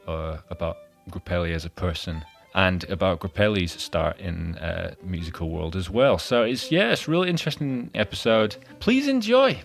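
Deep-voiced man with a hybrid Hull-Scottish accent. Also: creaky. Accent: mixed Hull and Scottish. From born in Hull, raised in Scotland. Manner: chatty, with frequent restarts and fillers. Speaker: podcast host. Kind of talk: casual interview chat.

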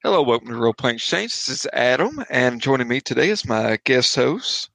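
Bright, gravelly male podcast host. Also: mid-range voice, sing-song, fairly quick. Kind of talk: casual interview hosting.

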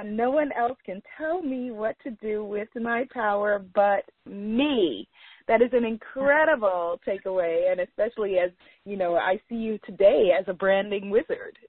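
A woman with a Guyanese American accent, hosting an interview in a reflective way, often repeating words and slowing down for emphasis. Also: mid-range voice, slightly rough, dry recording. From United States. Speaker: podcast host. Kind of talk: warm interview chat. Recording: tinny phone-like line, dry.

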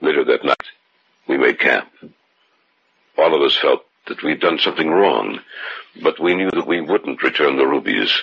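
Very deep-voiced haunted adventurer. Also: male voice, animated, creaky, heavily acted. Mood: ominous, haunted. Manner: grave, deliberate, dramatic. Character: haunted, fearful male adventurer recounting a deadly curse. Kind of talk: old-time radio drama acting, suspense narration. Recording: muffled, very noisy old radio recording.

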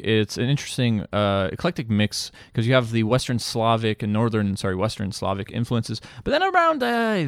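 A man's voice: mid-range voice, dry recording. Jokey, digressive man in casual chat, closely recorded. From Bosnia. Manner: jokey, digressive, crude slang. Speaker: podcast guest, musician. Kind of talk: casual chat, explaining at length.

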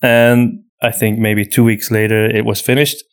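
A man's voice: on the low side, level tone, moderate pace, smooth. A man from Flanders with a Flemish accent, a native Dutch speaker, talking casually as a podcast co-host and repeating words as he thinks. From Flanders, Belgium. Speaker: podcast co-host and audio drama writer. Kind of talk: casual conversational Q&A.

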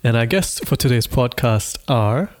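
A man's voice: medium pitch, animated, moderate pace. A man reading a scripted podcast introduction as host. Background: music.

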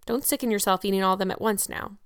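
Brief: woman reading as a brisk, scolding older woman, practical and dry-witted, close dry sound. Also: mid-range voice, clean tone, dry recording, close to an everyday voice.

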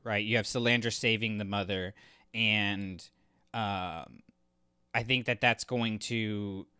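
A man co-hosting a podcast, talking casually about books in a halting, thinking-aloud way. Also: lowish voice, pushed and bright, clear, resonant tone, no echo, clean studio sound. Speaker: podcast co-host reviewing books. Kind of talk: casual discussion and commentary.

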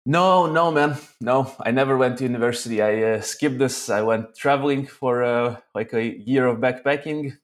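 Relaxed man from Israel, laughing easily. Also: mid-range voice, normal speed, hardly any pauses. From Ukraine, raised in Israel. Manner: relaxed, laughs often, says "man" a lot. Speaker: guest, online seller. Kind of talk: casual interview chat.

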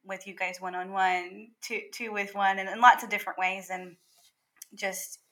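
Bright female podcast co-host. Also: mid-range voice, little pitch movement, normal speed. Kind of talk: conversational podcast hosting.

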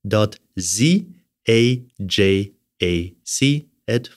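German-accented guy talking shop on a podcast, kinda low voice, lots of uhs and restarts, dry close mic. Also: lively intonation, no room sound. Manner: halting, many fillers and restarts. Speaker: orthotics specialist guest. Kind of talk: casual interview.

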